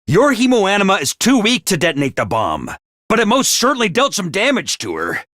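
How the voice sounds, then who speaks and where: A man voicing a peppy, bossy little mascot commanding officer in a video game, with a high cartoonish voice and theatrical, jaunty drill-sergeant delivery. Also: huge pitch swings, fairly quick, fairly bright tone, no room sound, clean studio sound, full character voice. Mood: stern, serious. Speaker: voice actor for a video game character. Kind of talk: animated video game voice acting.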